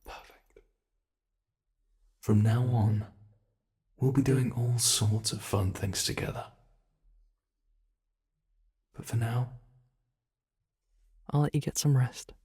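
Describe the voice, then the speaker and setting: A British man voicing an ancient male monster in an ASMR horror roleplay, speaking with soft, mockingly polite menace. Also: mid-range voice, lightly acted. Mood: possessive menace. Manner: slow, soft-spoken, teasing menace.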